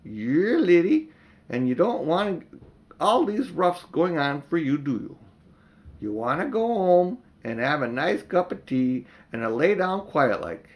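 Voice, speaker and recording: A man voicing a kindly working-class London cab driver in a storybook, folksy and coaxing, with dropped sounds and rough slang. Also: on the high side, very animated, a little slow, pitched above the performer's own voice.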